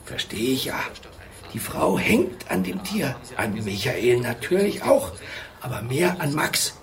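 An actor playing a chatty, secretive pensioner in his sixties in a radio drama, halting and confiding. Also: mid-range voice, big pitch swings, vocal fry, crowd noise. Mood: confiding, sorrowful. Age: 60s. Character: chatty, secretive old pensioner, gossipy neighbour. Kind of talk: naturalistic radio drama dialogue.

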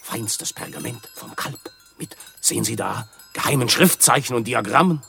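An actor playing an ingratiating, cheeky hunchbacked peddler and charlatan in a radio play, with a creaky voice and cackling manner. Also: male voice, mid-range voice, animated, moderate pace, bright, forceful tone, faint music behind, exaggerated voice. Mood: salesman-like. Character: wheedling hunchbacked travelling male peddler and charlatan.

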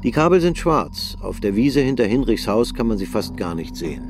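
A man narrating a radio drama in a measured storytelling manner. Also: fairly low pitch, sing-song, over music.

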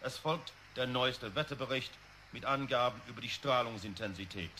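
A man's voice: medium pitch, fairly even intonation, normal speed, sharp tone, lightly acted, low-quality recording. A man playing a radio newsreader giving a formal bulletin in a German radio drama, with faint hiss. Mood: matter-of-fact. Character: crisp male radio newsreader.

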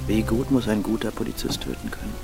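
A man's voice: on the low side, wildly swooping pitch, quick pace, gravelly, over music, exaggerated voice. An actor playing a sceptical, uneasy policeman in a German radio drama. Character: sceptical, uneasy policeman questioning his partner.

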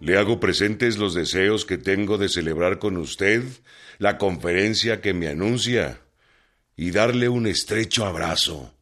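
A man playing a resolute revolutionary commander with a formal, measured delivery in a radio drama. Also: low-pitched, a bit bright, soft background music. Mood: solemn, fraternal. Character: Pancho Villa, resolute northern revolutionary commander.

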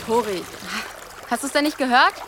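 A woman's voice: high voice, moderate pace, background noise, natural voice. An actress playing a cheeky, blunt village girl in casual, naturalistic audio drama dialogue. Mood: teasing, blunt. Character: cheeky, blunt, teasing village girl who hunts and forages.

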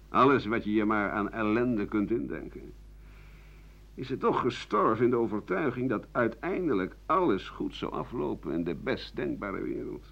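A man's voice: fairly low pitch, creaky, breathy edge, exaggerated voice. An actor playing an embittered ageing writer and doctor who rambles sardonically through his memories in a radio monologue. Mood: bitter, wistful. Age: older. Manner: rambling, bitter, sardonic, with muttering and dry chuckles. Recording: dull 1980 radio play recording.

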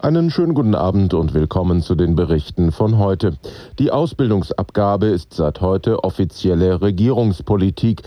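A male news presenter with a low, mellow voice, recorded very dry in a studio. He reads scripted headlines in with a formal, orderly delivery. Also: animated, moderate pace, dry recording. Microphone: close broadcast studio microphone.

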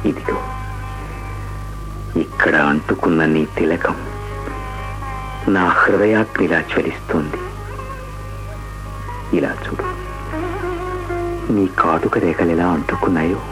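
Romantic radio lead: deep, declamatory, poetic prince in love, with clear music underneath. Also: male voice, slow pace, pauses often, low-quality recording. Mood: adoring. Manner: declamatory, tender, florid. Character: ardent, poetic male royal lover. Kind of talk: romantic radio play acting.

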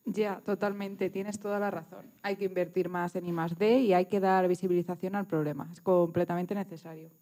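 A woman's voice: lowish voice, steady tone, fairly quick, few pauses, dark tone. A woman hosting and interviewing a guest in a conversational way. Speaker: interviewer, program host.

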